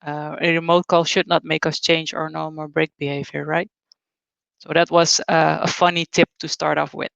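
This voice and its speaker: A woman in her forties, raised in Suriname, giving a relaxed, candid talk at an online company event. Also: sits low, moderate pace, vocal fry, no echo. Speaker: conference speaker. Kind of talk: webinar talk. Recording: dull, lo-fi sound.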